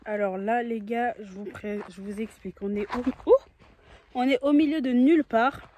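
A woman's voice: a little high, moderate pace, warm tone, a little hiss or hum. A young woman talking casually to a phone camera outdoors, chatty and playful. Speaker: travel vlogger. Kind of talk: casual vlog talk to camera. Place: outdoors in nature. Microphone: phone at arm's length.

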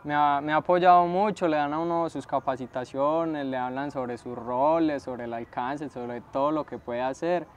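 A man's voice: fairly high pitch, steady tone, normal speed, hardly any pauses, slight background noise. A young man with a Colombian accent giving unscripted interview testimony as a student leader, recorded outdoors. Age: young adult. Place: outdoors on school steps.

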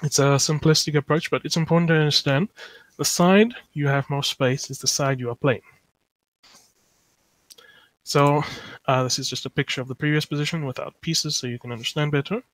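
A man from South Africa teaching chess live over an online call, pausing often with fillers as he thinks aloud and answers chat. The sound is dry and close, recorded at a desktop mic. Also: on the high side, fairly even intonation, a bit bright, no echo, poor audio. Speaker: chess lecturer.

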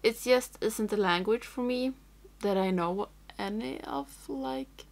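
A young woman talks slowly through her makeup routine in English, which is not her first language. Her fairly high voice carries a gravelly fry, and she drifts into whispers while concentrating. Recorded on a camera close in front of her, in a small room at home. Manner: rambling, thinks aloud, drops to whispers while working. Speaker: makeup vlogger. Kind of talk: casual tutorial chat.

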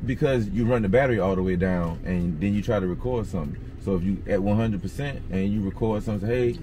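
A man's voice: fairly low pitch, quick pace, over music. A man, chatting in a folksy, laid-back way with slang while driving on a road trip. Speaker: vlogger. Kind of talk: casual chat while driving. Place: inside a car on the road. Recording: car and road noise behind.

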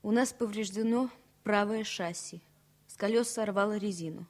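An actress playing an earnest, worried woman in love in a radio drama, confiding in a hushed, halting way. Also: mid-range voice, close to an everyday voice. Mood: serious. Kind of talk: radio play acting, 1970 Soviet radio drama.

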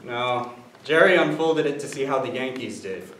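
A young man playing an excitable, teasing husband in a sitcom-style stage play. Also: mid-range voice, moderate pace, close to an everyday voice. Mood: dry, teasing. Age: young adult. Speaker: stage actor. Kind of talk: 1960s sitcom parody stage acting. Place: theater stage. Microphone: distant camera microphone. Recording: roomy, noisy distant stage recording.

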